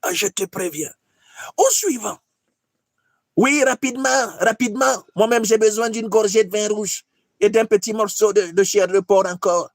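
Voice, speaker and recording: Casting: aged dictator mentor, mocking and scolding in a very high voice, satirical skit, close dry sound. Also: male voice, normal speed, no room sound. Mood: imperious, scolding. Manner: exclaiming, scolding, repeats himself. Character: old dictator grand master, weary, imperious, mocking mentor.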